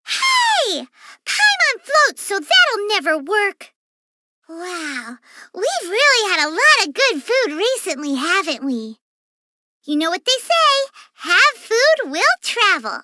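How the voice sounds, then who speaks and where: Tiny game fairy sidekick, extremely high squeaky voice, bright, excitable, greedy, bubbly. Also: female voice, slow, no echo, exaggerated voice. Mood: indignant, boastful. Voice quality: squeaky, childlike. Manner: bubbly, speaks of self in third person. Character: tiny floating childlike fairy companion, excitable, greedy, food-obsessed. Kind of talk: video game voice lines.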